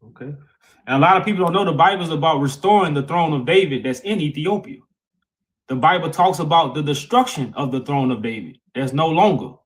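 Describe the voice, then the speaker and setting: A man's voice: fairly high pitch, lively intonation, a little fast, low-quality recording. Emphatic man preaching on a live stream. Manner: emphatic, repeats phrases, informal grammar. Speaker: co-host of a religious stream panel.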